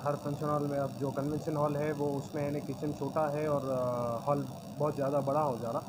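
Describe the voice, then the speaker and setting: Hesitant man, loud noise behind. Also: a little high, level tone. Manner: halting, many hesitations. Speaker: hall representative. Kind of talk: press conference answer. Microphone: many news mics on a table.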